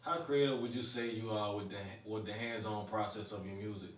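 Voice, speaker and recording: A man's voice: on the low side, steady tone, fairly quick. A man asking laid-back, brief interview questions from a distance. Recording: roomy, from off-mic.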